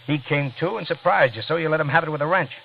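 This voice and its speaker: An actor playing an unhurried, sceptical detective inspector with an American accent and a casual, quietly accusing manner, in a 1940s radio thriller. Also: male voice, on the high side, vocal fry. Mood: wry, accusing. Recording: dull old radio recording, faint noise.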